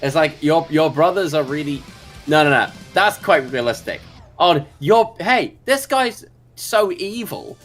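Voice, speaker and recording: A man chatting casually in a halting way, with dry joking. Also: fairly high pitch, animated, a bit bright. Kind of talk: casual chat. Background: faint music.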